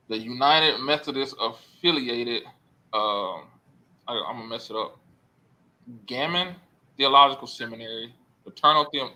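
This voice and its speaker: Earnest rambling man, bright tone. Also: mid-range voice, poor audio. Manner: rambling, self-correcting, earnest. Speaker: podcast guest. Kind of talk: casual podcast chat.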